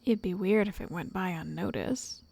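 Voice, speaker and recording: A woman voicing an obsessive, lovestruck witch in an ASMR roleplay, soft and whispery, coaxing with possessive endearments. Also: fairly low pitch, moderate pace, gravelly, dry recording. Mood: patient, controlling.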